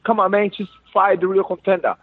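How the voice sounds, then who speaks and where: A man's voice: sits high, little pitch movement, fairly quick, no echo. Cuban man ranting over a phone line. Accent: Cuban Spanish. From Cuba. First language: Spanish. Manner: insistent, repetitive, broken English. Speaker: fighter calling in. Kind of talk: phone interview. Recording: dry, tinny phone line.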